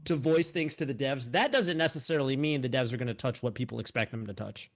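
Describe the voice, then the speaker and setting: A man in his thirties co-hosting a podcast, chatting casually about a fighting game, animated, with frequent restarts. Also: mid-range voice, fast talker. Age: 30s. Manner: animated, talks in restarts, mock outrage. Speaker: podcast co-host. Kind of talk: casual gaming chat.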